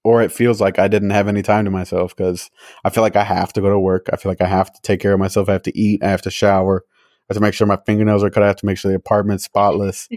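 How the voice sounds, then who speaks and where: Young man, lower voice, rambling casual podcast chat, loses his train of thought, dry close mic. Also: flat delivery, quick pace, darkish tone, no room sound. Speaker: podcast host.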